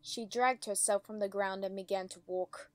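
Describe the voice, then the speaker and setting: A woman's voice: mid-range voice, steady tone, normal speed. A woman reading scripted story narration as the narrator of a dramatic reading. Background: faint music.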